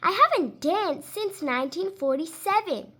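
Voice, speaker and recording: A woman's voice: extremely high pitch, expressive, moderate pace, bright, forceful tone, full character voice. A woman reading the part of a modest, reluctant old man in a children's storybook. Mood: doubtful. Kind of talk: storybook character voice.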